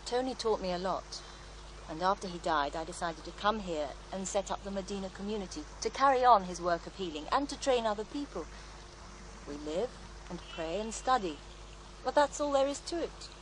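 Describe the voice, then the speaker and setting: An actress playing a serene, devout faith healer in a radio drama, reflective and gently assured as she tells her story. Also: medium pitch, expressive, normal speed, slight background noise, natural voice, rough recording. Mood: serene conviction. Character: serene devout female faith healer, former child therapist, wistful. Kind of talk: naturalistic radio drama acting.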